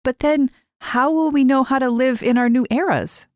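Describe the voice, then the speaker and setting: A woman's voice: sits high, steady tone, normal speed, a little mellow, dry recording, clean studio sound, pitched above the performer's own voice, close to an everyday voice. A woman voicing an anxious, rule-abiding young girl in a story, hesitant and full of doubt. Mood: worried. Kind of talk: acted dialogue in story narration.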